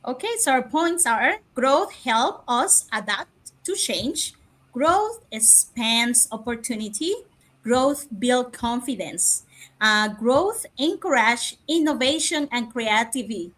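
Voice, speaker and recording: A woman's voice: a little high, lively intonation, slow pace, fairly bright tone. A woman from Cuba, a nurse on a panel, reading out points one by one. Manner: reads points in a list.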